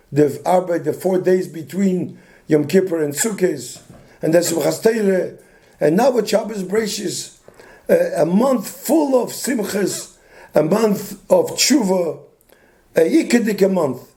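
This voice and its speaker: A hoarse older man gives a loose, story-filled rabbinic talk. His voice sits high for a man yet sounds dark and mellow. He often stops and restarts his sentences. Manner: meandering, many restarts, mixes Yiddish and Hebrew terms. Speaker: rabbi giving a weekly talk. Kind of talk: informal Torah talk with stories.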